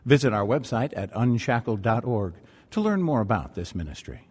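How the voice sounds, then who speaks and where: A man delivering scripted radio ministry announcements and scripture in an earnest, deliberate way. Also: medium pitch, sing-song.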